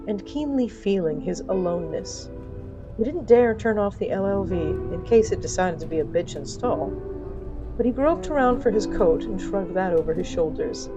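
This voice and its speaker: Female fiction-podcast narrator, theatrical with dry wit, over a clear music bed. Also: mid-range voice, muffled recording. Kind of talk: dramatic scripted storytelling.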